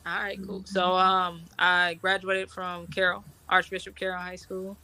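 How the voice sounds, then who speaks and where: A middle-aged woman from Washington, D.C., an Army officer and guest in a casual interview. She talks in a relaxed, chatty way, laughs often and uses many fillers. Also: on the low side, measured pace, clear, resonant tone, poor audio.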